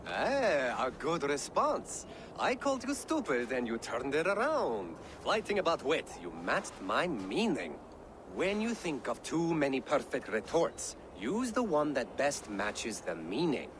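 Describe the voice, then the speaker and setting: A man's voice: mid-range voice, huge pitch swings, unhurried, background noise. A man playing a jovial, witty Viking poet in a video game, theatrical and lilting. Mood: encouraging, instructive. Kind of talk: theatrical video game acting, declaiming rhymes.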